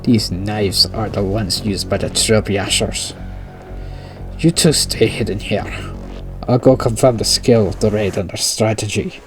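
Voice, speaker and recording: A man's voice: on the low side, unhurried, soft background music, close to an everyday voice. A man voicing a tough female bodyguard with a put-on German accent, giving curt orders. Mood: stern.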